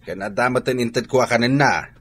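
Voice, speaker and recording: A man's voice: mid-range voice, a little fast, breathy edge, lightly acted. A man with an Ilocano accent acting a harsh, short-tempered, scornful father in a radio drama. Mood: gruff, disapproving. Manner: scolding, blustering.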